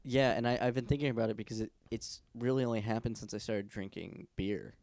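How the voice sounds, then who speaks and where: A man's voice: mid-range voice, fairly even intonation, brisk, vocal fry, darkish tone, dry recording. A man in his twenties co-hosting a podcast in casual, rambling chat, with a nasal voice, swearing and laughing often. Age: 20s. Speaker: podcast co-host.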